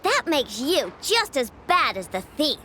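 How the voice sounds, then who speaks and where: Hot-headed little girl, very high-pitched. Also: big pitch swings, measured pace, fairly bright tone, full character voice. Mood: indignant. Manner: indignant, blunt, exclaiming. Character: small hot-headed girl robot inventor, brash and stubborn. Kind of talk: video game voice acting. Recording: clear background noise, game ambience.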